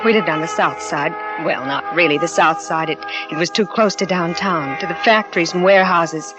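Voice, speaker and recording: An actress playing a troubled young wife in a 1940s radio drama, narrating in a halting, confiding way. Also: female voice, on the low side, lightly acted. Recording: dull old radio recording with music.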